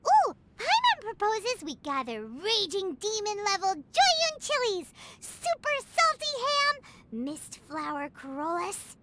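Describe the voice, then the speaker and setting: A woman voicing a tiny, chatty, excitable childlike fairy in an anime-style video game, with a squeaky voice. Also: takes it slowly, heavy background noise, full character voice. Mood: excited. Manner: speaks of herself in third person, exclamatory. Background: prominent music.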